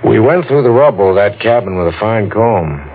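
An actor playing a calm, matter-of-fact police sergeant with a measured, dry manner in 1940s radio drama style. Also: male voice, lowish voice. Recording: tinny old radio recording, faint noise.

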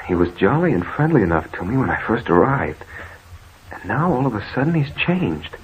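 An actor playing a concerned, uneasy visiting brother in an old radio drama, with an earnest, theatrical delivery. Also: male voice, on the low side, big pitch swings, normal speed. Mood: troubled. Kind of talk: 1940s radio drama acting. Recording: noisy, tinny old radio recording.

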